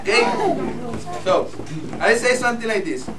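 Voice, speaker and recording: High-voiced woman leading a sing-along, loud crowd. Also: wildly swooping pitch, moderate pace. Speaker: song leader for a group. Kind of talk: playful group sing-along instruction. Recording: echoey, distant, loud music and crowd.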